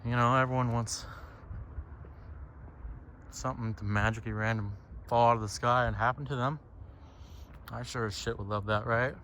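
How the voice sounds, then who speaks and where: A man thinking aloud in a halting, casual, reflective monologue while walking outside on a trail. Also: medium pitch, fairly even intonation, fairly bright tone. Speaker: solo vlogger. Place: outdoors, walking on a trail by a lake. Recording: outdoor ambient noise.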